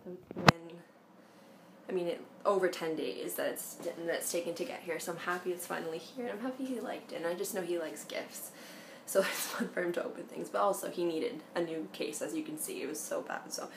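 A young woman chatting excitably and laughing often in a casual vlog, recorded on a handheld phone camera. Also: fairly low pitch, fairly quick, vocal fry, noisy background. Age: young adult. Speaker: vlogger. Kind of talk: casual couple vlog chat.

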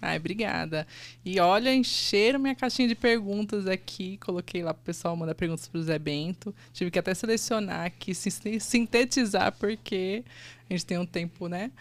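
A middle-aged woman hosting a nostalgia podcast, warm and affirming, on a studio boom mic. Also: moderate pace. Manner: warm, affirming, laughs along. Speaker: podcast host. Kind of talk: friendly interview hosting. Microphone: studio mic on boom arm.

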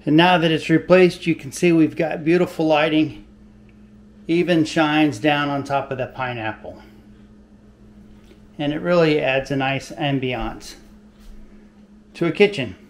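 An older man hosting a home repair video in his kitchen, explaining in a relaxed, folksy, plain-spoken way on a lapel mic. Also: a little high, measured pace, pauses often. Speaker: DIY video host. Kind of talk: casual how-to demonstration.

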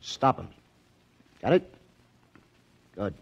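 A suspicious casino boss in a 1940s radio play. He is low and husky, with gruff, slangy orders that swing widely in pitch. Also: sing-song, extremely slow, a little hiss or hum, heavily acted. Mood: curt, commanding. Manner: slangy, curt tough talk. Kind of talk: 1940s radio drama acting. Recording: muffled old radio recording.

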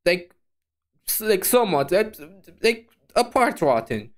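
A woman gives casual reaction commentary in a voice of middling pitch that moves up and down a lot. She muses aloud, hesitating and repeating her points with animation. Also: a little slow. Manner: hesitant, repetitive, animated musing. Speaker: VTuber reacting to a video.

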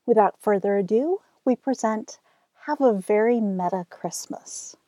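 A woman's voice: medium pitch, a little slow, mellow. A woman hosting a radio show, reading a scripted introduction.

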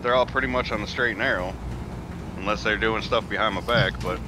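Low-voiced man over noisy background. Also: fairly quick. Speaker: roleplayer playing a questioned business owner. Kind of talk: casual in-game roleplay conversation. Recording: clearly noisy, outdoor vehicle ambience.

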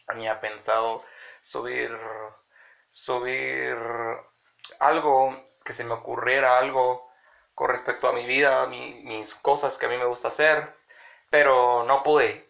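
A man's voice: mid-range voice, gravelly, fairly bright tone. A young man in his early twenties with a cold, chatting rambly to his phone camera in a small room at home. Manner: rambling, chatty, addressing viewers directly. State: sick with a cold, congested. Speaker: vlogger. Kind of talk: casual vlog to camera. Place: small room in a house, indoors. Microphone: phone camera at arm's length. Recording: dull lo-fi phone audio.

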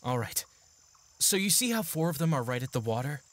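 An actor voicing a serious, curt young mercenary in a fantasy audio drama. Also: male voice, medium pitch, very animated, brisk, a bit bright, dry recording. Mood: focused, hushed. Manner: curt, earnest, tactical. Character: serious, gruff-mannered young male mercenary in training. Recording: faint music behind the voice.

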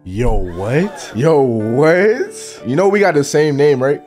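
A man's voice: a little high, animated, slow pace, darkish tone. Slangy teenage guy chatting over music. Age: late teens. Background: background music.

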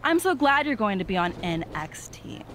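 A woman's voice: mid-range voice, big pitch swings, normal speed, a little hiss or hum. A woman voicing a bitter, hostile rival wrestler in a video game. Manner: sharp, hostile.

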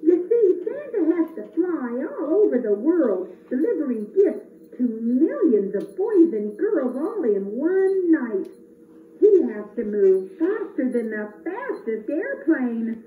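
An earnest little elf, voiced by a woman frets kindly in a high, lively singsong. It comes through tinny, from across an echoing room. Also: takes it slowly, heavily acted. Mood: businesslike, kindly. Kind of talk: children's puppet show. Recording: echoey, tinny, distant camera, faint noise.

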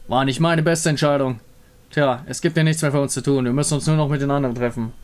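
Ein Mann spricht einen mürrischen, sarkastischen Monsterjäger in einem Fantasyspiel, brummig und widerwillig. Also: male voice, medium pitch, fairly even intonation, fast, close to an everyday voice. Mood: frustrated. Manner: grumbling, sardonic. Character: gruff sarcastic male monster hunter, reluctant.

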